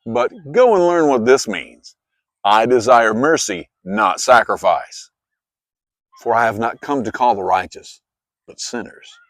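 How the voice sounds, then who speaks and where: A man acts out a male teacher and healer from a scripture story. He is calm, solemn and authoritative, weighing his words with a very lively, wide-ranging delivery in a dry, close sound. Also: medium pitch, measured pace, no room sound, natural voice. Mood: measured teaching.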